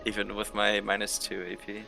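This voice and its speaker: A man's voice: fairly low pitch, monotone, moderate pace, creaky, low-quality recording. A man chatting casually as a tabletop game player, hesitantly describing his character's actions over voice chat. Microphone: voice chat microphone, mutable. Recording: online voice chat with faint music.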